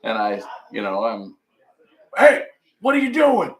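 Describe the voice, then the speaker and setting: A man with a naturally loud voice hosting a relaxed livestream chat. He tells stories in a chatty, teasing way at an ordinary pitch. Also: unhurried, crowd noise. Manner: chatty, teasing, laughs often. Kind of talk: casual chat, storytelling.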